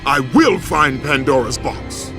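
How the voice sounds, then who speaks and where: An actor playing a brutal, vengeful Greek warrior in a video game, with a commanding, declamatory delivery. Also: male voice, mid-range voice, expressive, a little slow. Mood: defiant anger. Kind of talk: dramatic video game acting. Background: loud dramatic music.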